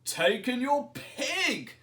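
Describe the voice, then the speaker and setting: A man voicing a calm, wise old man from a storybook, measured and deliberate. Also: extremely high pitch, voice pushed up, full character voice. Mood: calm advice.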